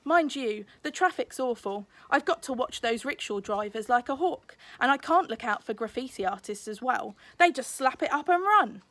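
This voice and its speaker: A woman's voice: high voice, expressive, moderate pace, few pauses, a bit bright, no room sound. A woman voicing a cheeky young servant boy in a storybook, chatty and full of feigned innocence. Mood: blithe, excusing.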